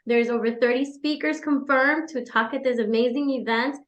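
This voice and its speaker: A woman's voice: fairly high pitch, steady tone, normal speed, fairly bright tone, roomy sound, dull audio. A woman organizer hosting, enthusiastically promoting an event. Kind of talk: promotional introduction.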